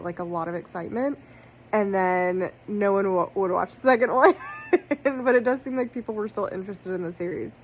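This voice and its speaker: A woman in her thirties vlogging about books in a rambling, casual way, tired and congested, recorded as a lo-fi phone clip. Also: lowish voice. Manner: rambling, self-correcting, fillers like "like". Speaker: book vlogger. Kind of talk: casual chatty vlog. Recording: faint background noise, lo-fi phone clip.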